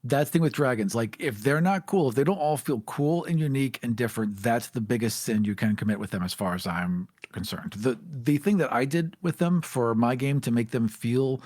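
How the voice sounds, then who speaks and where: A man's voice: medium pitch, fairly quick, few pauses, a little mellow, no room sound. Earnest man talking dragons, dry sound. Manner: earnest, emphatic. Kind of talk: casual chat about tabletop games.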